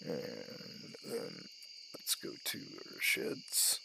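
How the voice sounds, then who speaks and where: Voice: very slow, background noise. A gaming host giving sparse, casual let's-play commentary, muttering in short fragments as if thinking aloud.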